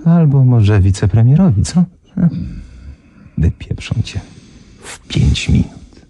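An actor playing a threatening, mocking crooked company president in a radio drama. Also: male voice, medium pitch, sing-song, takes it slowly, dark tone, no echo. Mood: menacing. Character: menacing middle-aged male crooked businessman. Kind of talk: 1990s radio drama acting.

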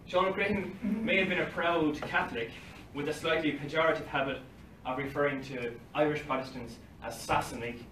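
A man's voice: a little high, moderate pace, few pauses, a bit bright. A young man giving a conference talk at a lectern, reading from notes with dry asides, recorded from across a library meeting room. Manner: reads from paper at a lectern, dry asides with short laughs. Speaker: conference speaker. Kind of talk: academic talk read from notes. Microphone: far phone camera across the room. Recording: roomy, distant, faint hiss, livestream quality.

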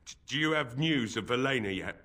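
An actor playing a worried older village father, gruff and plainspoken, a little halting. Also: medium pitch, lively intonation, bright, forceful tone.

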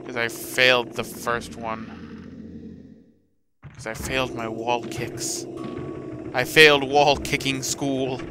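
A man's voice: mid-range voice, flat delivery, slow, pauses often, noisy background. A man giving casual, playful let's play commentary, often talking to the characters in his video game. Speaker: gaming video host. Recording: game music behind the voice. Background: video game music.

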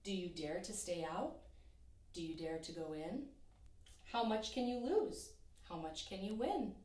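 A young woman teacher reading a rhyming children's picture book aloud in an expressive, sing-song way. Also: medium pitch, measured pace, recorded from a distance. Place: small room in front of a painted backdrop. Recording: echoey room, voice from off-mic.

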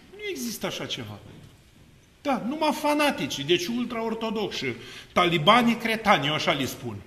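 A middle-aged man, an Orthodox priest, preaching an unscripted sermon with emphatic swings between low musing and loud exclamations. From Romania. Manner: animated hand gestures while seated. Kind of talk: extemporaneous sermon. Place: seated before an iconostasis in a church. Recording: echoey church hall.

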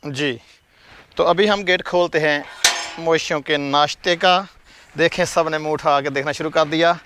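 A fairly high-voiced man talks viewers through his farm out in the open fields. He chats easily, points things out as he walks, and speaks straight into a handheld camera mic. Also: fairly even intonation, moderate pace, noisy background. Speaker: farm vlogger. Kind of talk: casual farm tour narration. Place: outdoors on a farm among fields.

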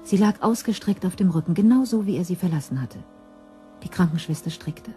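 A woman's voice: fairly low pitch, brisk, warm tone, faint music behind. A woman narrating a scripted crime story in a composed storytelling manner.

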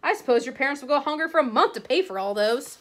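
A spoiled, sneering schoolboy, as a woman reads him, his voice raised higher than hers. He drawls out mocking taunts. Storybook reading. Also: expressive, fast talker, fairly bright tone.

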